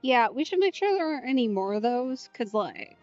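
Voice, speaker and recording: A woman's voice: on the high side, sing-song, brisk, a little mellow, dull audio, soft background music. A woman giving casual, wry commentary while playing a video game, musing aloud to herself. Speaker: let's play streamer.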